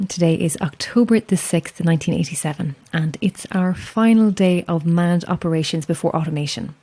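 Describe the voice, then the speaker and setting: An actress playing a chatty, self-deprecating lighthouse keeper in an audio drama, friendly and a little wistful, with hesitant asides. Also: female voice, on the low side, darkish tone, lightly acted. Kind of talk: naturalistic audio drama acting.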